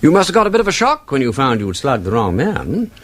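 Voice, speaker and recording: An urbane English gentleman detective in a radio drama, with an upper-class accent. His mid-pitched voice rises and falls dramatically. He is composed and courteous, choosing measured understatement. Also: huge pitch swings. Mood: coolly probing.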